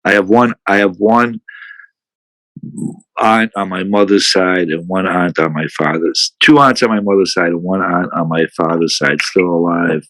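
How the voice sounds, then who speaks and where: A man's voice: sits low, fairly even intonation, normal speed, a bit bright, no echo, rough recording. An older man from the United States, a podcast guest who talks in a frank, blunt way and swears casually.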